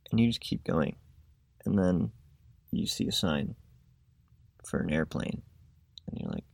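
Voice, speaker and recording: Low gravelly monotone young man. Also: a little slow, no echo. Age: young adult. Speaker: student podcaster. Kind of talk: casual podcast storytelling.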